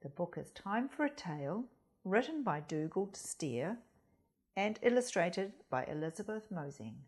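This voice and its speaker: A woman reading a children's story aloud as a school storyteller, expressive and deliberate, speaking directly to young listeners. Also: on the low side, slightly rough. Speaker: storytime reader at a primary school.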